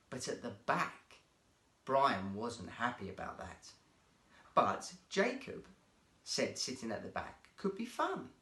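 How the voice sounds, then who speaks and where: A man narrating a children's story as a guest storyteller, in an animated, theatrical manner. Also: on the high side. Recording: roomy, echoey sound.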